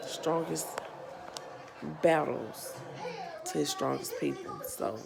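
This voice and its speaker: A woman in her thirties with a Southern US accent, speaking earnestly and haltingly as a parent who runs a nonprofit, her voice a bit worn. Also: deep voice, sing-song, gravelly, a crowd in the background. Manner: slow, earnest, halting with pauses and restarts. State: losing her voice. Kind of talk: personal vlog testimony.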